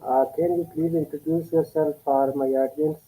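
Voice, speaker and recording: Flat, dark-voiced male podcast host. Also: a little high, moderate pace, slight background noise, low-quality recording. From Kashmir. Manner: hesitant, many uhs. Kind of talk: podcast interview.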